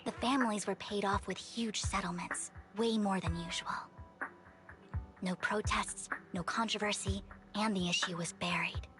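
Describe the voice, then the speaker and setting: A woman voicing an earnest, wounded young woman in a video game, formal and measured in her delivery. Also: medium pitch, steady tone, fairly bright tone, soft background music, natural voice. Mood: serious, pensive. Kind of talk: English video game voice acting.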